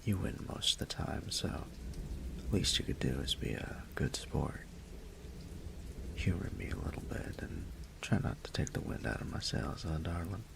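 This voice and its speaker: A man acting as a teasing, affectionate young roommate in an intimate audio roleplay, murmuring in a gravelly, breathy half-whisper. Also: deep voice, lively intonation, exaggerated voice. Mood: playful, teasing. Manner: playful, unhurried, murmured delivery.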